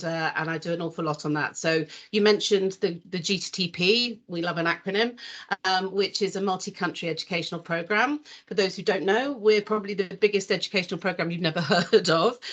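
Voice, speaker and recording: Woman panel guest on video call, lowish voice, chatty, relaxed, slight filler words. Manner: warm, chatty, with frequent ums and light laughs.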